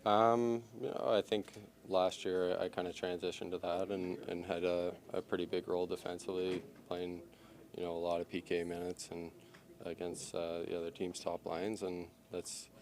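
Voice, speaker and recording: A hockey player at a press scrum, answering in halting speech full of fillers and repeated words. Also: male voice, sits low, flat delivery, a little mellow. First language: English.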